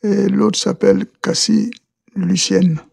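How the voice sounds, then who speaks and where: Slow, gravelly man from Côte d'Ivoire. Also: high-pitched, flat delivery, darkish tone, no echo, studio quality. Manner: halting, repeats words and restarts often. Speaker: podcast guest. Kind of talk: interview answers.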